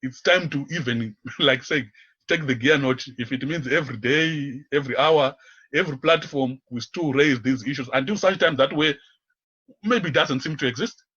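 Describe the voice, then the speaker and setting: Husky guy being interviewed on radio, feels his way thru sentences, bit dull lo-fi sound. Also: medium pitch, fairly bright tone. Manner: halting, searching, repeats words as they go. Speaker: interview guest, community volunteer and activist. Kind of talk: unscripted radio interview talk.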